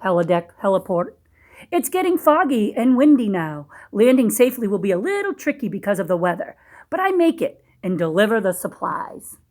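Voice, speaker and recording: A woman reading a storybook aloud to young children, pausing in a teacherly way to ask questions and explain things simply. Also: mid-range voice, very animated, darkish tone.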